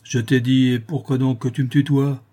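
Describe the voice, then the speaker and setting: Casting: male reader as coarse, menacing bailiff's officer for a storybook, husky voice, insolent bully who whines when overpowered. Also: medium pitch, flat delivery, normal speed, warm tone, natural voice. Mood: suspicious, insolent.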